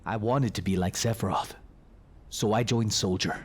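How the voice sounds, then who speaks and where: An actor playing a reserved young former soldier in a video game, recounting things in a subdued, hesitant way. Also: male voice, fairly low pitch, moderate pace, soft background music, close to an everyday voice. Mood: reflective, subdued. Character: reserved, brooding young ex-soldier man telling his past. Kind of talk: video game character narration.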